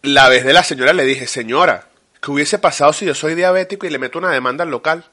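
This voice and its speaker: Un hombre con acento venezolano, copresentador de un podcast, que charla de forma enfática y con jerga. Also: male voice, a little high, fast, sharp tone. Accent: Venezuelan Spanish. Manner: emphatic, slangy storytelling. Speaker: podcast co-host. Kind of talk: casual chat.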